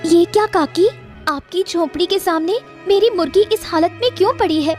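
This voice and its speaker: Cartoon villager played by a woman: excitable, distressed, plaintive, agitated, very high voice. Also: normal speed, faint music behind. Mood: upset, accusing. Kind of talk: Hindi cartoon story voice acting.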